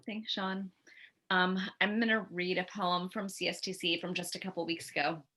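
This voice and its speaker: A woman's voice: lowish voice, flat delivery, moderate pace, pushed and bright. A woman, a poetry mentor, reading a poem aloud over a video call.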